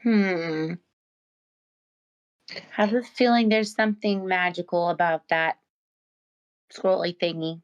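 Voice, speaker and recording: A woman's voice: lowish voice, fairly even intonation, slow pace, pauses often, lo-fi sound. A woman playing a tabletop role-playing game, talking casually with the other players.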